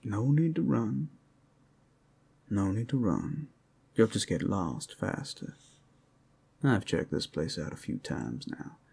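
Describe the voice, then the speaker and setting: Teasing werewolf drifter acted by a man for ASMR roleplay. Folksy, coaxing, gravelly and deep, very animated intonation. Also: takes it slowly, pauses often, full character voice. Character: casual, teasing male werewolf urban explorer.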